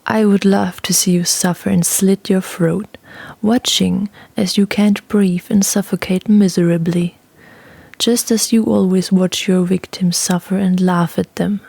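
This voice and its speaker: A woman playing a cold, menacing young male swordsman in a fantasy audio play, with hushed, controlled threats. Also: medium pitch, steady tone, a little slow, creaky, husky, no echo. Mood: cold menace.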